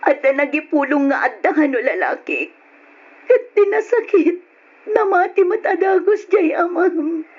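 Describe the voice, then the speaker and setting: A woman's voice: very high voice, lively intonation, measured pace. A woman playing a wronged, protective mother in old radio drama style, pleading and emotional, on a muffled recording. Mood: sorrowful, gentle.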